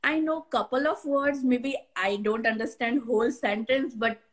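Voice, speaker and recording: A woman's voice: a little high, moderate pace. A woman from India hosting an interview, asking questions hesitantly with many fillers. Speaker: interviewer, host. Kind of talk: casual video call interview.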